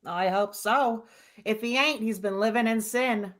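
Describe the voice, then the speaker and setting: A woman's voice: a little high, unhurried, a bit bright. A woman playing a wisecracking, indifferent woman on the telephone, offhand and slangy, in a vintage-style radio drama.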